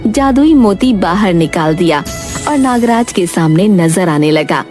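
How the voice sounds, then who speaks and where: A woman storyteller reading scripted narration for a children's animated fairy tale. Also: fairly low pitch, measured pace, faint music behind.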